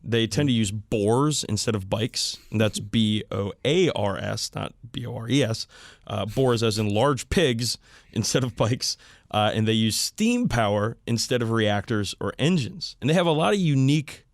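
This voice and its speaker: A man's voice: lowish voice, expressive, moderate pace, dry recording. A man hosting a podcast, explaining things in a casual, enthusiastic hobbyist way. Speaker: podcast host.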